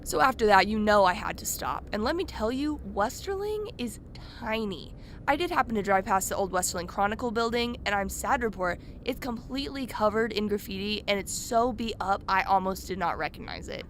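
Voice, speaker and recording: A woman acting as a curious true-crime podcaster who chats informally to her listeners. Her pitch sits in an ordinary female range, with faint noise behind her. It has the feel of a radio drama told as a podcast. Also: expressive, a little fast, lightly acted. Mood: chatty, intrigued. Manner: informal, chatty storytelling. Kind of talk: conversational podcast narration in a radio play.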